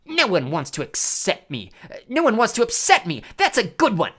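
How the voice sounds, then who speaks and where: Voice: mid-range voice, huge pitch swings, a little slow, gravelly, bright, forceful tone, breathy edge, pitched above the performer's own voice, exaggerated voice. A narrator voicing a melodramatic, self-pitying ghost of a girl with a tearful wail, in an audiobook story. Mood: wailing, wounded. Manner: tearful, wailing, dramatic.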